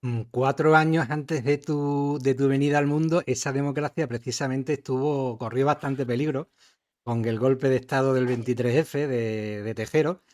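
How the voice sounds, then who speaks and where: A middle-aged man from Spain, hosting an interview. His pitch is in the middle range, and he sets up long, friendly questions at a measured pace. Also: fairly even intonation, darkish tone. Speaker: podcast host and interviewer.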